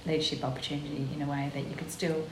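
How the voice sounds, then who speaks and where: Confident Australian woman, low voice, echoey room. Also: flat delivery, quick pace. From Western Australia. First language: Italian. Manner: confident, emphatic, frequent "yeah, absolutely". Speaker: guest, executive officer of a gender equity group. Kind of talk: conversational podcast interview. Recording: echoey room with faint noise.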